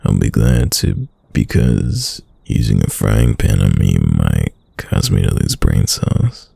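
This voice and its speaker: Devoted boyfriend for late-night roleplay ASMR, acted by a man: extremely deep, heavy vocal fry, tender murmur. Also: animated, takes it slowly, warm tone, no echo, exaggerated voice. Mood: teasing affection. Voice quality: deep, gravelly, breathy. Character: devoted soft-spoken boyfriend whispering to his sleeping lover.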